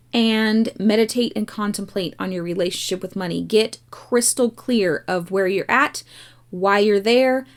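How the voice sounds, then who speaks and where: A woman giving a tarot message in a measured, coaxing way, asking the listener a string of reflective questions. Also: mid-range voice. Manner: measured, coaxing, repeats pleas. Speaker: tarot reader. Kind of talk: slow, gentle reading with rhetorical questions.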